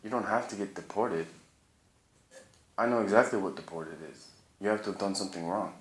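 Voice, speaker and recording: A man's voice: lowish voice, fairly quick, slight background noise. A man in casual conversation, insistent and argumentative. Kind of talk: casual chat. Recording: echoey room.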